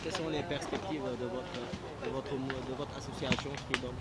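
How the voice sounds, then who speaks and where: A man's voice: mid-range voice, steady tone, moderate pace, a bit bright. A man asking brief, direct interview questions.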